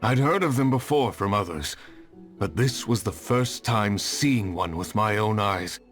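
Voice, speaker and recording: An actor playing a weary, earnest hunter who speaks formally, with game music behind. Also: male voice, fairly low pitch, lively intonation, measured pace, fairly bright tone.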